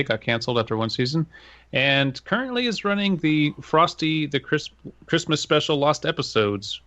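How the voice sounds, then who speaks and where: A man's voice: mid-range voice, pushed and bright, no room sound, highs cut off. A man co-hosting a comedy podcast in casual, joking conversation. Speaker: podcast co-host.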